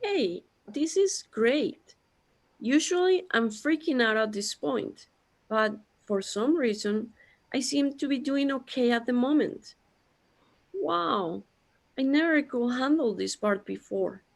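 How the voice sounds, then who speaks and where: A woman's voice: high-pitched, lively intonation, slow pace, vocal fry, exaggerated voice. A woman acting an anxious, bewildered character overwhelmed by existential dread in a sci-fi audio drama. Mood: relieved, amazed.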